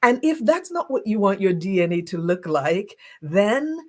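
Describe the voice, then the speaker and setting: A woman's voice: mid-range voice, sing-song, moderate pace, darkish tone. A woman guest in a faith-based talk show, encouraging and laughing often as she speaks in affirmations. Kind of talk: casual faith-based conversation.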